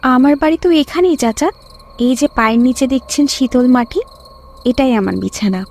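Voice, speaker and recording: A woman's voice: high-pitched, normal speed, darkish tone. A woman playing the eerie ghost of a young village bride in a horror cartoon story, plaintive and dramatic. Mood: eerie, mournful.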